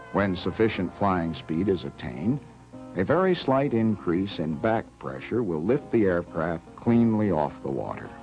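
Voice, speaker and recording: A man reading scripted instructional narration for a training film in a measured, explanatory way. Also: low voice. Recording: dull 1970s 16mm film soundtrack.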